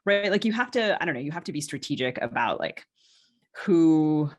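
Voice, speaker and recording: Woman talking through thoughts haltingly. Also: deep voice, lively intonation. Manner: hesitant, circling phrasing with many hedges and qualifications. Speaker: novelist and podcast co-host, being interviewed. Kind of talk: casual podcast conversation.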